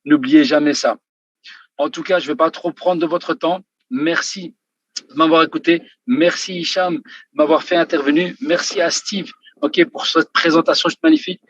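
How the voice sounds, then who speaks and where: A husky man makes an insistent motivational pitch over a video call and keeps asking the listeners "ok?". His voice sits a bit high, and the line sounds dull and dry. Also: fairly even intonation, normal speed, fairly bright tone, no echo. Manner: emphatic, repeats "ok?" to the audience. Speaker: company manager giving a testimony.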